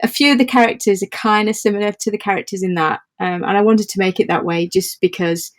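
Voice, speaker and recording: A woman's voice: medium pitch, steady tone, quick pace. A woman chatting casually and enthusiastically as an interview guest. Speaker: guest, audio play creator.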